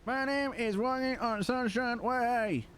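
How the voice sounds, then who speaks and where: A hoarse young man, chatting casually and laughing as he streams a roleplay game. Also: extremely high pitch, slow pace, fairly bright tone, a little hiss or hum. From Liverpool, England. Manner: chatty, laughs often, calls people brother. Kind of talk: casual live-stream chat.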